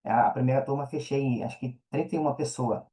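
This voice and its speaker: A man's voice: mid-range voice, fairly even intonation, quick pace, dark tone. A man with a Brazilian Portuguese accent, speaking casually as an interview guest, with slangy phrasing and frequent check-in tags. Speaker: interview guest, affiliate marketer and mentor. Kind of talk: casual conversational interview answers.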